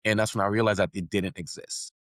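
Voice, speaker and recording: A man's voice: fairly low pitch, fairly even intonation, a little fast. A middle-aged man from Haiti with a Haitian accent, whose first language is Haitian Creole, talking confidently as a podcast guest. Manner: confident, businesslike, repeats words while building a point. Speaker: podcast network founder, guest. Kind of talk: casual interview talk.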